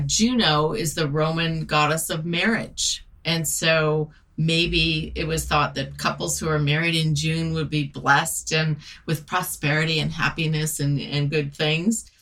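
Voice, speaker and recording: A woman's voice: sits low, a little slow, fairly bright tone. A woman hosting an interview, explaining things conversationally and putting questions to her guest. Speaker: relationship podcast host. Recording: distant from the microphone.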